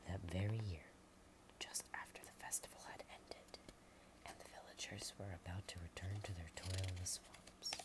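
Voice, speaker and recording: A woman reading a storybook in a soft whisper, adding chatty asides and sometimes stumbling over words. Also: a bass voice, low-quality recording.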